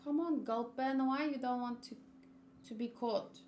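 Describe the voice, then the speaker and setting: Higher-voiced woman chatting casually on stream. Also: unhurried, a little mellow, slight background noise. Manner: informal, chatty, reacting aloud. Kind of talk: casual livestream chat while gaming.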